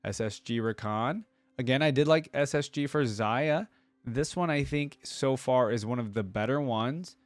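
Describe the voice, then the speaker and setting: A man's voice: mid-range voice, normal speed, no room sound. A man hosting a reaction video with casual, informal commentary, thinking aloud as he goes.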